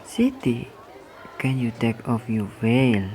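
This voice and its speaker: A man playing a cold, menacing bully leader in a student radio drama, speaking deliberately. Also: medium pitch, big pitch swings, darkish tone, a faint crowd, a little hiss or hum.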